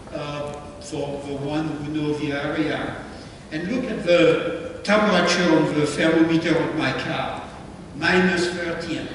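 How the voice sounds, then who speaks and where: A man in his seventies from France with a French accent, giving a club slideshow talk in a plain, halting way. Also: a little high, a bit bright, slight background noise. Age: 70s. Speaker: guest presenter. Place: meeting room. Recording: echoey hall, distant mic.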